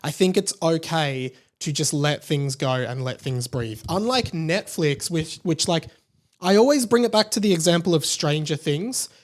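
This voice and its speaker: Podcast host, male, Australian accent, fairly high pitch, emphatic and conversational solo commentary. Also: lively intonation, moderate pace. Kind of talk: casual solo pop-culture commentary.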